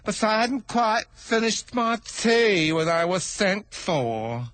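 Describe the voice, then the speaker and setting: A man voicing a nervous, stammering male hatter who speaks in a slow, trembling voice. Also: sits high, very animated, fairly bright tone, dry recording, voice pushed up, exaggerated voice.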